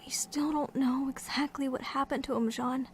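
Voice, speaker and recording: A woman acting a gentle, grieving young survivor, halting and soft-spoken, in an audio drama. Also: high-pitched, fairly even intonation, a little hiss or hum, lightly acted. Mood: hesitant, sad.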